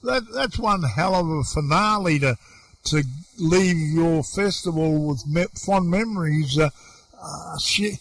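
A man hosting a casual radio interview, chatty and folksy, halting a little as he frames his questions. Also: on the high side, gravelly, a bit bright, dry recording.

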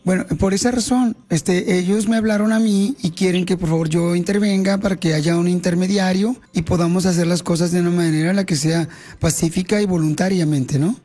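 A woman's voice: lowish voice, steady tone, brisk, warm tone, natural voice. A Mexican woman playing an indignant, mock-serious go-between for mistreated cats in a radio prank call, insistent and mock-polite. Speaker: radio show prank caller. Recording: noisy radio phone-prank recording.

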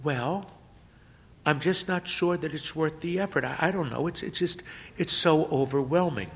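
A man voicing a nervous teenage boy who speaks haltingly and stammers. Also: fairly high pitch, pitched above the performer's own voice, natural voice. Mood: hesitant. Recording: long hall reverb.

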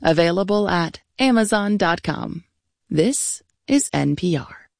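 Lowish-voiced woman announcer, dry studio sound. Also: expressive, a little slow, vocal fry, no echo. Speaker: radio announcer. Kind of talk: scripted sponsor read.

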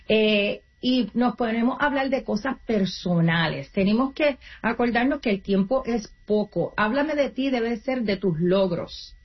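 A woman on an interview gives career advice in an earnest, explanatory way and sometimes restarts mid-word. Her voice sits a little high. The recording sounds muffled and lo-fi. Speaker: guest, nonprofit career coach.

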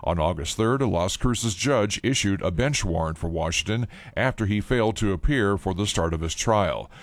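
Deep-voiced male local newsreader. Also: no echo. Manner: steady, measured reading. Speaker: local news reporter. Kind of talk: scripted newscast.

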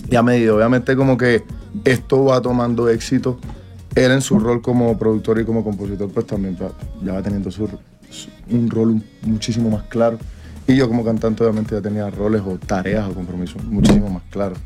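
A man's voice: fairly low pitch, little pitch movement, fairly quick, warm tone, soft background music. A Colombian man in his twenties, a singer talking casually in an interview, rambling with slang and restarts. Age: 20s.

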